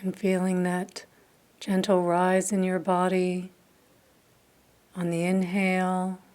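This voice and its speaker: A woman leading a guided meditation, speaking softly and calmly with long pauses between phrases. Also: on the low side. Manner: slow, soft, calm with long pauses. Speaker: meditation teacher.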